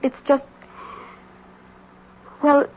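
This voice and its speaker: A woman playing a troubled, guarded wife in a vintage radio mystery, husky and earnest, with an evasive manner. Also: very slow. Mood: defensive, anxious. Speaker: actor in a radio mystery drama. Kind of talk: vintage radio drama acting. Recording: muffled vintage radio recording.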